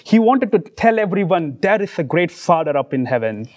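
A man from India with an Indian accent, preaching a sermon in short earnest phrases, pausing for his interpreter. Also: sits high, very animated.

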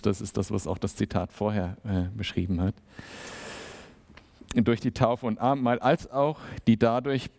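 A man giving a sermon in a relaxed, conversational way, with many fillers and little acted-out exchanges. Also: fairly low pitch. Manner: conversational, acts out small dialogues. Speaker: preacher.

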